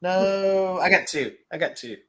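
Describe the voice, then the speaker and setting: A man's voice: high-pitched, a little slow, clean tone. Guy with a stuffy nasal voice, laid-back chatter, reading out viewer comments. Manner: relaxed, chatty, reads viewer comments aloud. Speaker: host. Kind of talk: casual livestream chat about toys.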